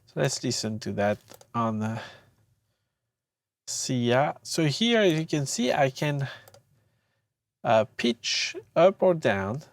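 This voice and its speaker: An audio tutor gives an informal demonstration of software at a slow pace. The delivery is halting and hesitant, with frequent pauses, and the sound is very dry. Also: mid-range voice, lively intonation, no echo.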